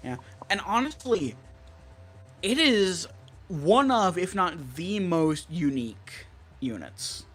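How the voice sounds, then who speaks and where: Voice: medium pitch, sing-song, slow, fairly bright tone. Rambling, opinionated American radio enthusiast. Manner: opinionated, rambling, listing features. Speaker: stream co-host, weather radio collector. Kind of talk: casual enthusiast chat with technical detail.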